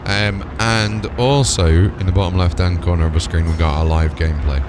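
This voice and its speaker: A man's voice: low voice, fairly even intonation, moderate pace, very noisy. A man giving live stream commentary as the host, addressing viewers who have just tuned in.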